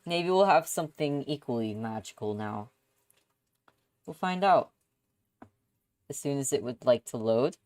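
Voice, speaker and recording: A woman livestreaming visual novel readings, chatting casually in an excitable, exclamatory way. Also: extremely low pitch, measured pace, pauses often, no room sound. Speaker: streamer.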